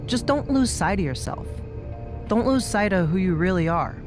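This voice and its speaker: An actress playing a mischievous veteran wrestler drawn to darkness in a game cutscene, conversational and teasing. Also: female voice, medium pitch, animated, normal speed, over music, lightly acted. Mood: intrigued, admiring. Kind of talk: video game cutscene acting.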